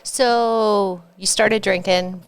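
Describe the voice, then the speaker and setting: A woman's voice: medium pitch, steady tone, very slow, vocal fry, clear, resonant tone, dry recording. A woman interviewing a guest, chiming in with short encouraging prompts and follow-up questions.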